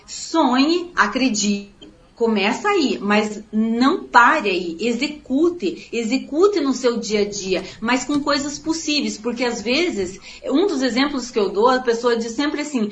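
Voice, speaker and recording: Woman, author interviewee, motivational, high-ish pitch, echoey room. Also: a bit bright. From Paraná, Brazil. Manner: earnest, motivational, repeats phrases. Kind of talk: interview answers.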